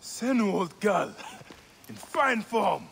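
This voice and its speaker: An actor playing a driven, vengeful warrior of ancient Egypt in a video game, with a terse, resolute manner. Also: male voice, sits high, animated, slow, gravelly, a bit bright, in a large hall, slight background noise, heavily acted. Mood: delighted. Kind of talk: video game cutscene acting.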